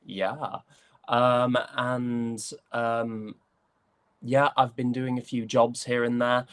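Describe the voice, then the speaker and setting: A young man with a Northern English accent chatting casually as an interview guest, often pausing to find his words. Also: on the low side, no room sound. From West Yorkshire, England.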